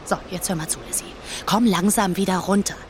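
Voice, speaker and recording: A woman's voice: mid-range voice, steady tone, a little fast, background noise, lightly acted. An actress playing a pragmatic, unsentimental businesswoman in an audio drama, blunt and matter-of-fact. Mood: businesslike. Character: pragmatic, unsentimental, debt-ridden businesswoman scheming. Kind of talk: audio drama dialogue acting.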